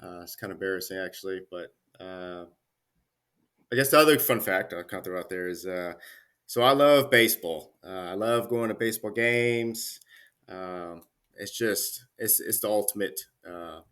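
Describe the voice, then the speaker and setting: Low, bright-voiced man. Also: moderate pace, clear, resonant tone. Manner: relaxed, many fillers and restarts. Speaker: Army career manager guest. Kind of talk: casual interview chat.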